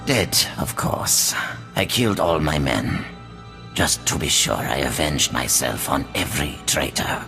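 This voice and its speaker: Ancient ruthless crime boss, extremely deep, gravelly and breathy, slow menace, game dialogue over music. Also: male voice, expressive, heavily acted. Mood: grim, bitter. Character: dying, ruthless old crime lord father. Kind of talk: video game character acting.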